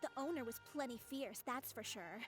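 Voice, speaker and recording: An actress voices a chatty townswoman trading gossip, her voice fairly high. Soft music sits in the background. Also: expressive, moderate pace, natural voice. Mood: gossipy.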